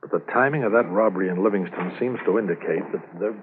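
A deep-voiced, brisk police officer played by a man in a 1950s radio drama. He questions firmly and methodically, all business. The sound is dull and narrow, like an old transcription disc. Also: a little hiss or hum, lightly acted. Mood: pondering. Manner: firm, clipped, businesslike questioning.

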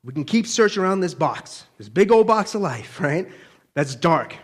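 A man's voice: high-pitched, sing-song, moderate pace, breathy edge. A man giving an informal, conversational sermon as a preacher, colloquial and often checking in with listeners.

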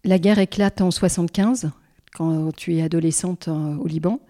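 Mellow low-voiced woman interviewer. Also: steady tone, moderate pace. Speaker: podcast host. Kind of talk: interview questions.

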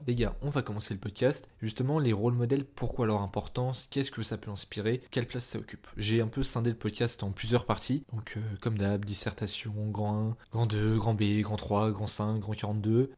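A man's voice: lowish voice, darkish tone. A young man hosting a solo podcast in an informal, chatty way, often wandering off into asides and interrupting himself. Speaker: podcast host. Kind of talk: casual solo podcast monologue.

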